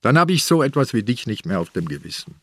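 An actor playing an old, shrewd police inspector in a radio crime drama, with a Swiss accent and a dry, chiding, matter-of-fact manner. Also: male voice, medium pitch, very animated, fast, fairly bright tone, no echo. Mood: grumbling, chiding. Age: older.

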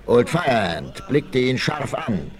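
A man's voice: mid-range voice, sing-song, slow, crowd noise. A man giving scripted dramatic narration in the manner of a 1960s radio play.

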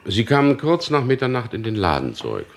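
A man's voice: mid-range voice, wildly swooping pitch, brisk, gravelly. An actor playing a stern, probing chief inspector in a radio crime play, commanding and dryly pointed in his questioning. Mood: dry, insinuating.

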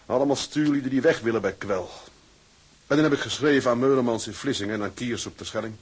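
A man's voice: mid-range voice, quick pace, slightly rough, close to an everyday voice. A man acting in a radio drama as an earnest, plain-spoken seaman out of work but hopeful. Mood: resigned, hopeful.

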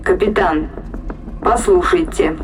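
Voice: mid-range voice, slow, natural voice, low-quality recording. A performer voicing a dutiful ship's computer in a science fiction audio drama, formal and matter-of-fact.

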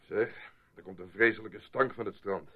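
An actor playing a gruff, blunt, easily irritated village barman in a radio play. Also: on the low side, normal speed. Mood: gruff, annoyed. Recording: muffled, phone-like lo-fi radio play.

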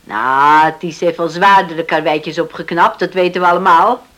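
A woman's voice: on the low side, moderate pace, fairly bright tone, lightly acted. A woman playing a resolute farmer in a Dutch radio play, earnest and coaxing as a worried parent.

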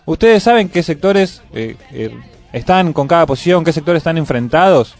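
An Argentine man hosts a casual radio show. His voice sits a little high, and he talks in a conversational interview style over faint background music. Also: animated, moderate pace, a little mellow.

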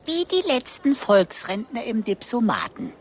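A woman's voice: a little high, sing-song, a little slow, slight background noise. A woman voicing a cheeky, philosophising computer sidekick in a radio drama, wry, wordy and mock-formal. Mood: gleeful, mocking.

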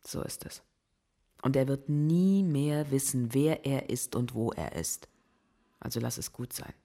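Haunted but tough survivor in a german radio thriller, grave measured delivery. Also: female voice, extremely low pitch, warm tone, full character voice. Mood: resigned. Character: wounded but resolute woman, survivor of traumatic past. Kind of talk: German crime radio play acting.

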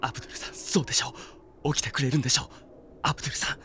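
An actor playing an earnest, polite young man grieving for a comrade in an anime audio drama, soft and pleading, dropping toward whispers. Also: mid-range voice, steady tone, rapid-fire, a little hiss or hum, natural voice. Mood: grieving, disbelieving.

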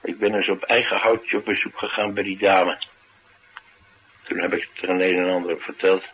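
An actor playing a tough, cynical, streetwise bodyguard in a radio play, talking in a colloquial, matter-of-fact way. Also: male voice, low-pitched, fairly even intonation, normal speed, a bit bright, breathy edge, rough recording. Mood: wry, candid. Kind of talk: naturalistic radio drama dialogue.

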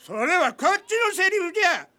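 A very high-pitched, animated old feng shui master for radio drama. He is feisty and chivalrous, boasting in archaic old-man phrasing with a bright, cutting edge. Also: a little fast, heavily acted. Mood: indignant. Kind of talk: Japanese radio drama acting.

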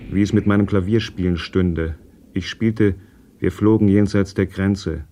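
A man reading a first-person literary memoir in a measured way, with deliberate repetitions. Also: low voice, steady tone, background noise.

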